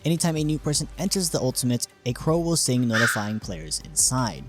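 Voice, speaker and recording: A man's voice: medium pitch, sing-song, a little fast. A man hosting a video, narrating a scripted explainer on game design with informal asides. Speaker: video creator and host. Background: music behind the voice.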